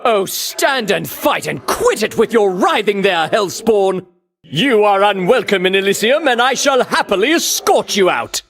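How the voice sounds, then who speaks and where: Vainglorious male game champion: scornful, grandiose, slow declamatory delivery with sweeping, animated intonation. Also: high-pitched, vocal fry, heavily acted. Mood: scornful, boastful. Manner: grandiose, declamatory, archaic heroic diction. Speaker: voice actor. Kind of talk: theatrical video game battle lines.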